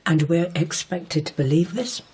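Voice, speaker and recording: A woman's voice: lowish voice, animated, normal speed, a little mellow, breathy edge. An actress in a naturalistic radio drama playing a suspicious, scornful woman who speaks in a curt, accusing, sceptical manner.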